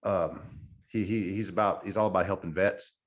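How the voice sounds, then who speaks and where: Deep voiced guy mid 40s co-hosting a podcast, talks real casual, lots of dude and man. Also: fairly even intonation, moderate pace, dull audio. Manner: informal, says dude and man often. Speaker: podcast co-host, active-duty service member. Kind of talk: casual chat.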